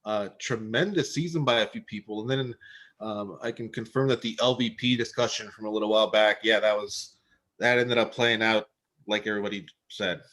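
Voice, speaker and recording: A man appearing as a guest analyst on a podcast, speaking in a relaxed, matter-of-fact way. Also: fairly low pitch, fairly quick, low-quality recording. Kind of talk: casual chat.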